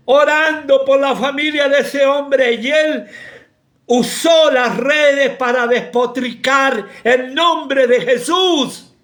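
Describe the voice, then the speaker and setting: Fervent high-voiced Argentine preacher man. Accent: Argentine Spanish. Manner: impassioned, rebuking, bursts into shouting. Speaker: pastor. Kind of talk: preaching a sermon.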